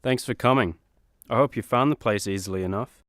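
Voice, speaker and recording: An actor playing a vain, fretful superhero, easily put on the defensive, in a comedy radio play. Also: male voice, medium pitch, a little fast, fairly bright tone, dry recording, lightly acted. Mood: polite, nervous. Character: vain, anxious, image-conscious superhero.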